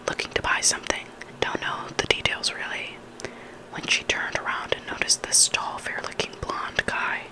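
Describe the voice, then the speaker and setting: Whispering ASMR storyteller. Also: rough recording. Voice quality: breathy unvoiced whisper. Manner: hushed, deliberate reading in short phrases. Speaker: ASMR reader of online stories.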